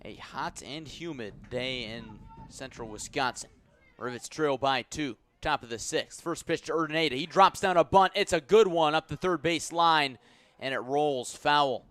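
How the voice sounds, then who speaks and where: A man calling a baseball game live as a radio play-by-play announcer, with measured, descriptive delivery that lifts when the action picks up. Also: a little high, a bit bright. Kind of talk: live sports commentary.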